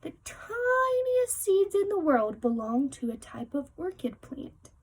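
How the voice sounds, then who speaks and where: A woman's voice: very high-pitched, big pitch swings, slow. A woman reading a children's picture book aloud like a teacher, adding explanatory asides and speaking directly to young listeners.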